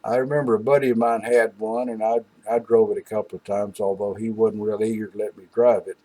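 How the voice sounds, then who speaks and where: An older man hosting an old-time radio show, reminiscing casually in a folksy, halting, rambling way with asides. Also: on the low side, little pitch movement, warm tone, poor audio.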